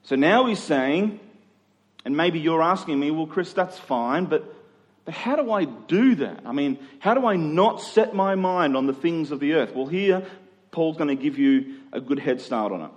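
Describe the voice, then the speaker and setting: Male preacher, slightly high pitch, conversational sermon, rhetorical questions, repeated phrases for emphasis, long hall reverb. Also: lively intonation, normal speed, lo-fi sound.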